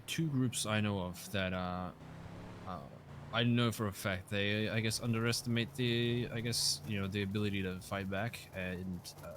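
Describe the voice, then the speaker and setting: A man with a lowish voice speaks in character in a roleplay game. He hedges constantly, fills gaps with "uh" and lets his sentences fade. Faint noise hums behind him. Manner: hesitant, many fillers, soft trailing off. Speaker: roleplay player.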